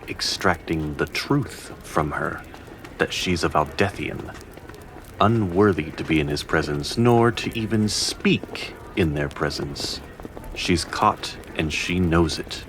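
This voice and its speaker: A man's voice: rumbling low, slow pace, a bit bright, slight background noise, exaggerated voice, poor audio. A man narrating a fantasy audio drama as a solemn storyteller, in scripted dramatic style. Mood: tense, ominous.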